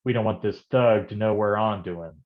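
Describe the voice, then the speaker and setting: A man's voice: fairly low pitch, fairly quick, narrow, tinny audio, lightly acted. A man playing a bumbling, folksy private detective in a staged noir parody, stammering and easily rattled. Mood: nervous bluster. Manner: stammering, nervous chuckling, folksy slang.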